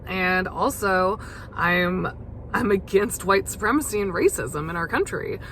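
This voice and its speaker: A young woman hosting a casual solo podcast, chatty and full of fillers, her voice gravelly as she loses it. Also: medium pitch, expressive, measured pace, fairly bright tone, a little hiss or hum. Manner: chatty, many fillers and restarts. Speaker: podcast host.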